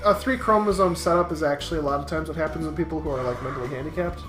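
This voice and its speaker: A let's play co-commentator trading casual comic banter and reading game lines in a halting, stammering, wry way. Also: medium pitch. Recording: roomy echo, music behind the voice.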